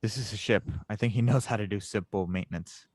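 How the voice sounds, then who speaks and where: Resolute young hero voiced by a man, lowish pitch, close dry sound, earnest, sci-fi audio drama. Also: quick pace, a little mellow, no echo, close to an everyday voice. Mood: focused. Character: determined, earnest young male hero engineer. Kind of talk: audio drama table read.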